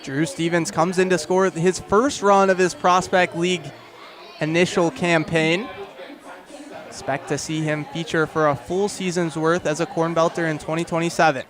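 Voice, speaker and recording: A young man doing live radio baseball play-by-play in clipped phrases that build to excited calls. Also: on the high side, normal speed, a faint crowd. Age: young adult. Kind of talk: live radio sports commentary.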